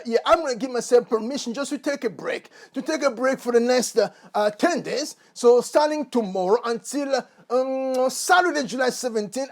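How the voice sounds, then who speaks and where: A man talking earnestly to his channel's viewers in a warm, effusive way, with frequent fillers and restarts. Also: extremely high pitch. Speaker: motivational YouTuber. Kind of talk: casual monologue to camera.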